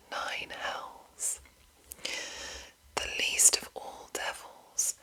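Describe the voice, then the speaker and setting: A woman whispering an ASMR reading in a breathy, unvoiced voice, with slow and deliberate phrasing. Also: pushed and bright, low-quality recording. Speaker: ASMR host reading a monster manual.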